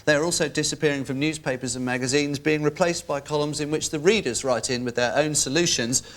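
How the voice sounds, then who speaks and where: A man's voice: on the high side, fairly even intonation, fast talker, fairly bright tone. A man improvising as a panel game contestant in a dry, mock-serious way. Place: TV studio with audience.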